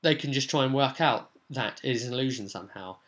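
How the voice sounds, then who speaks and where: A man's voice: mid-range voice, fast talker, a bit bright. A man speaking as a game master, talking through a tabletop role-playing session in a rambling, conversational way with bursts of emphasis. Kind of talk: informal vlog recap and advice on a tabletop role-playing session.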